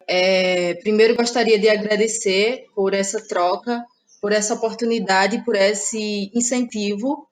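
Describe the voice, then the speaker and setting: A woman's voice: mid-range voice, fairly even intonation, unhurried. A woman in her thirties with a Cearense Brazilian accent, a playwright and actress giving thoughtful, hesitant interview answers. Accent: Brazilian Portuguese, Cearense. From Ceará, Brazil. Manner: thoughtful, hesitant, many fillers like "né".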